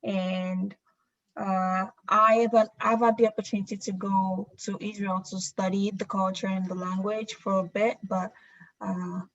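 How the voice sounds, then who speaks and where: Flat-voiced Nigerian woman, easygoing. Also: mid-range voice, measured pace, highs cut off. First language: Yoruba. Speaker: student panelist. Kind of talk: self-introduction on a video call.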